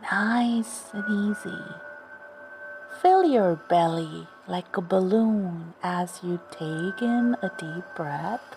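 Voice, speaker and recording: Very slow, soothing woman narrating sleep meditation. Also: medium pitch, animated. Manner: soothing, gentle, unhurried with long pauses. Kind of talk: guided sleep meditation storytelling. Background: background music.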